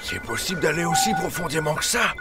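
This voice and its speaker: A man's voice: fairly high pitch, expressive, a little fast, over loud music, lightly acted. A man playing a dim, hesitant, naive crew soldier in an audio drama. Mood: bewildered.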